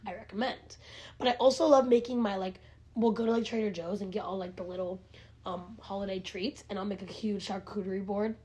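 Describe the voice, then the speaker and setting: A woman's voice: mid-range voice, steady tone, brisk, darkish tone. A nineteen-year-old woman co-hosting a podcast, chatting casually with lots of fillers and restarts. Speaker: podcast co-host. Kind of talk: casual chat.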